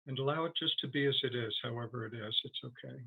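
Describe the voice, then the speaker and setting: A man leading a guided meditation as a teacher, speaking gently and haltingly with long, unhurried pauses. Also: mid-range voice, vocal fry, breathy edge, rough recording.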